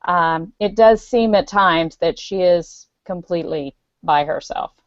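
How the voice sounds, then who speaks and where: A woman's voice: lowish voice, a little slow, no room sound, dull audio. A woman author talking casually on a podcast over an online call, explaining earnestly with fillers. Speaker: guest author. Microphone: video call.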